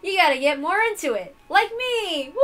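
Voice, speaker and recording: A woman's voice: very high voice, sharp tone, pitched above the performer's own voice, full character voice. A woman voicing a haughty, mocking witch in a visual novel reading, with theatrical, taunting delivery. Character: haughty, mocking female witch who loves to cackle.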